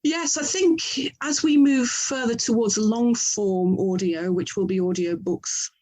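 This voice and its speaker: A woman's voice: fairly high pitch, measured pace, darkish tone. A woman with an English accent speaking as an interview guest, effusive and enthusiastic in a conversational style. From England. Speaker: author and audio drama producer, interview guest.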